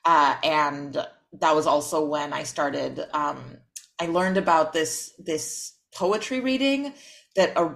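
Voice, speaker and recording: A young woman, a writer and critic, talking in a relaxed interview and pausing often with ums to find her words. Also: low-pitched, creaky. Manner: thoughtful, many ums and restarts. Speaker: podcast guest, author and critic. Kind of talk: casual interview conversation.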